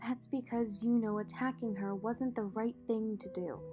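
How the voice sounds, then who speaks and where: A woman acting in an audio drama as a poised, protective, wealthy mother and executive, measured, polished and dryly witty. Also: a little high, fairly even intonation, natural voice. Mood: proud, tender. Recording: music behind the voice.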